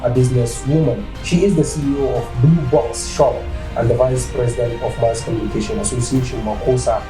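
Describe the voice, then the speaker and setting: A man with a Nigerian accent hosting a talk program, asking questions in a formal, measured way. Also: medium pitch, steady tone. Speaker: host. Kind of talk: radio-style interview. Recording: echoey room with music behind.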